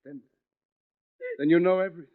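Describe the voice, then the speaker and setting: An actor playing a brooding, tormented husband, both menacing and tender, with intense, halting melodrama in 1940s radio mystery style. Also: male voice, high voice, fairly even intonation, close to an everyday voice. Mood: grim anguish. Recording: dull old radio recording.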